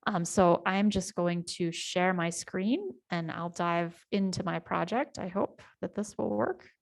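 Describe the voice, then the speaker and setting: A middle-aged woman from the United States with an American accent, speaking to a community town hall over a video call. Her voice sits on the low side and carries a gravelly fry. She tells her story easily, with frequent ums and self-corrections. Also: unhurried. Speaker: community presenter. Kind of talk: online town hall presentation.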